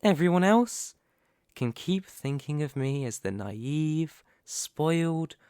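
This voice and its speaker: A man's voice: fairly high pitch, animated, a little mellow, smooth, no room sound, studio quality. A man playing a young mafia heir in a scripted audio roleplay, with polite, formal manners over cunning menace. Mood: smug, calculating. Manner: measured, courteous, formal delivery.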